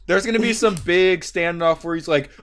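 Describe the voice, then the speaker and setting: An excitable, insistent man bantering casually as a podcast co-host, with other voices overlapping around him. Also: high voice, moderate pace, slight background noise.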